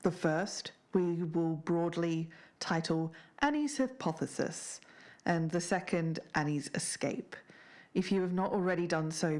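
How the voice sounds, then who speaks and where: A woman playing a podcast investigator, speaking in a measured, sceptical and deliberate way as she narrates and discusses. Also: deep voice, a little mellow. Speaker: podcast co-host.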